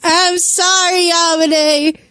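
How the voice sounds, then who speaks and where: Woman playing a high, shaky, tearful young man apologizing. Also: little pitch movement, darkish tone, dry recording, heavily acted. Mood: wailing, anguished. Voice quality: shaky, cracking voice. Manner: halting, wailing, emotional. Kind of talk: amateur dramatic story reading.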